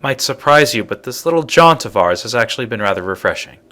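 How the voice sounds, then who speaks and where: A man playing a high-minded space explorer in a video game, speaking in a measured, formal way. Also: lively intonation, sharp tone. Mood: dryly approving. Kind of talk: scripted video game voice acting.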